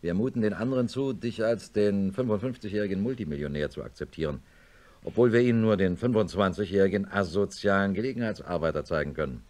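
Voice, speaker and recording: An actor playing a middle-aged neurosurgeon in a German radio play, speaking in a measured, matter-of-fact and insistent way as he reasons and narrates. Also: fairly low pitch, a little mellow, close to an everyday voice. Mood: blunt, regretful. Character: sober male neurosurgeon, blunt old friend, narrating his story.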